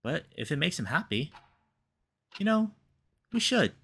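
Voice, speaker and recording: A man's voice: fairly high pitch, measured pace, vocal fry, husky. A man hosting a playthrough, expressively reading a visual novel aloud and reacting to it with commentary. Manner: animated, reacts aloud to the story. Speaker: let's play host, reader.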